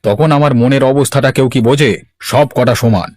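A man's voice: medium pitch, normal speed, darkish tone, lightly acted. A man playing a gullible, stubborn married village headman hungry for wealth in a cartoon, with an earnest, dramatic delivery. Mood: stubborn, aggrieved. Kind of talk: Bengali cartoon voice acting.

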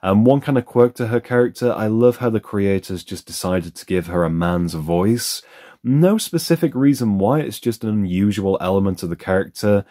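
A man's voice: lowish voice, moderate pace, warm tone. A man giving a candid, conversational voice-over for an unboxing review, sharing his opinions as he goes.

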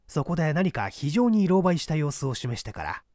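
A male reader narrates a classic mystery story in a measured way with dramatic asides. His voice is somewhat high and very lively in pitch, and the recording is close and dry. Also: dry recording.

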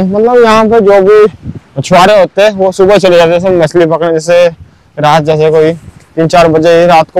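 Young man, chatty vlog narration, slightly high voice, smooth and clear, outdoors on a beach. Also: darkish tone, poor audio. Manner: chatty, keeps saying "bhai" and "matlab". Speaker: vlogger. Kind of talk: casual walking tour narration.

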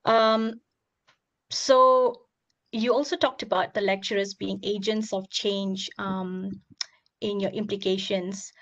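A woman with a hoarse, gravelly voice asks long, carefully built questions at an online seminar. She pauses often as she thinks through each point. The sound comes over a video call. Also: mid-range voice, steady tone, a bit bright, lo-fi sound. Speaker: audience member asking questions.

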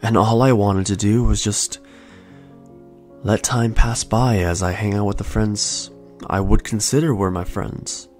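A young man in his twenties hosting a podcast, telling his own story in a soft, slow, soothing way. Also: fairly low pitch, fairly even intonation. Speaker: podcast host. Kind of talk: calm reflective storytelling. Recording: faint lo-fi music bed. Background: soft lo-fi music.

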